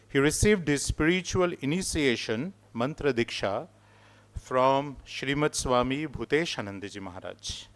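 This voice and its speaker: Loud, ceremonious man. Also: mid-range voice. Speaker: host of the retreat. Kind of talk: formal speaker introduction. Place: retreat hall at an ashram.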